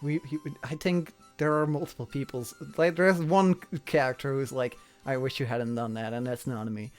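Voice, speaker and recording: A man's voice: mid-range voice, steady tone, normal speed, faint music behind. A man chatting casually as an interviewer, explaining things in a rambling way and often repeating himself. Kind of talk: casual chat.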